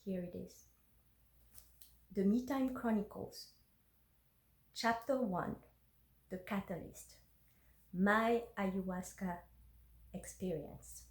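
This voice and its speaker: A woman whose first language is French speaks slowly and hesitantly, with many ums and uhs. She talks into her phone in a roomy space, and her voice carries an echo. She sounds like someone sharing a personal vlog. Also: medium pitch, fairly bright tone. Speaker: intuitive energy worker. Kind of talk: personal vlog and reading her journal aloud.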